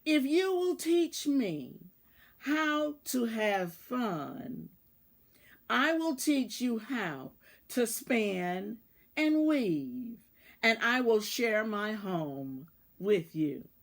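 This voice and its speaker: A woman reading a storybook, voicing a grumpy, lonely, hardworking female mouse in an emphatic, deliberate way. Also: fairly high pitch, very animated, full character voice. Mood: earnest. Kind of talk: children's storybook read-aloud.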